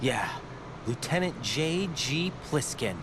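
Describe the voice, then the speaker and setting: An actor playing an earnest, bewildered young special forces operative in a video game cutscene. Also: male voice, mid-range voice, animated, slow pace, background noise. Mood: tense. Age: young adult. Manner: earnest, questioning. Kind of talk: dramatic game cutscene dialogue. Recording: loud soundtrack music behind.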